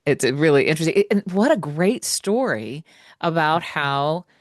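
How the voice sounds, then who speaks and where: A woman's voice: low voice, expressive, normal speed, dry recording, studio quality. An American woman host asking long, rambling questions with many fillers on a video call. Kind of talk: interview questions.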